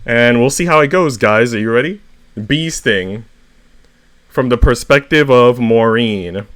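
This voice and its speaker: Man, mid-pitched voice, expressive story read-aloud, streamer. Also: clear, resonant tone. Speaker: streamer reading short stories aloud.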